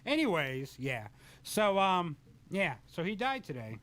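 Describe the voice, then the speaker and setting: A man's voice: high voice, measured pace. A young man hosting a talk radio show, joking and bantering casually into a studio mic. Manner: joking, animated, sometimes puts on silly voices.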